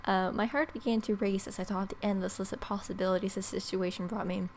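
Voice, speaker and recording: A woman's voice: mid-range voice, steady tone, quick pace, a little mellow, slight background noise. A young adult woman reading a visual novel aloud as a let's play host, with playful, wry asides.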